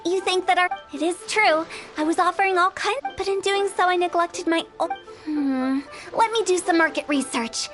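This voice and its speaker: A woman's voice: very high voice, moderate pace, fairly bright tone, soft background music. A woman voicing a playful, pushy young funeral director in a video game, with chirpy, theatrical sales patter. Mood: eager, scheming.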